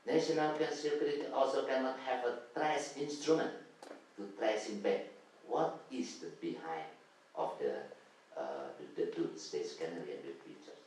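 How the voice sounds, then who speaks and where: A man's voice: medium pitch, lively intonation, normal speed, darkish tone. A man answering at a conference session, explaining earnestly and searching for words. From Indonesia. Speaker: academic panelist. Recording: echoey conference hall, distant mic, faint noise.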